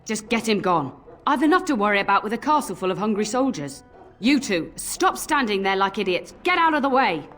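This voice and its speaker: Bossy castle cook lady, scolding and blustering, pitch all over the place, music behind. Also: sing-song, fairly quick, a bit bright. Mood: exasperated anger. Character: irascible castle cook, bossy, grudgingly fond scolding nanny. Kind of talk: dramatic fantasy video game acting.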